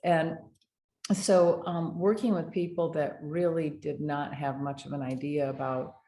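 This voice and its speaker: Low-voiced older woman telling lively stories. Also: unhurried. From United States. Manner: animated anecdotes with laughter. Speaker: dental hygienist and educator. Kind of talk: casual interview storytelling. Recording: echoey room, faint noise.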